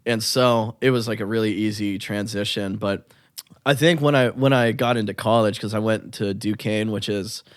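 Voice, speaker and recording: A man's voice: on the low side, steady tone, moderate pace, no room sound, studio quality. A young man guesting on a podcast, talking in a loose, rambling way with lots of likes and ums. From United States. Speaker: guest musician. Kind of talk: casual interview chat.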